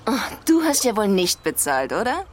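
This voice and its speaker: A woman's voice: mid-range voice, sing-song, normal speed, a little hiss or hum, natural voice. A woman playing a cool, evasive young woman in a radio drama, answering with dismissive questions.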